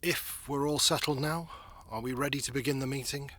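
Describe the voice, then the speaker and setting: A man's voice: mid-range voice, steady tone, brisk, fairly bright tone, natural voice. A man playing a dignified, courteous church leader chairing a council in an audio drama, formal and polite. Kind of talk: audio roleplay drama acting.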